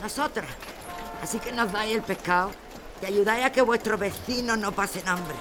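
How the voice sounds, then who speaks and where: An actress playing a resolute working-class protest leader in a Spanish radio drama. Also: female voice, mid-range voice, moderate pace, a bit bright, a little hiss or hum, natural voice. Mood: commanding.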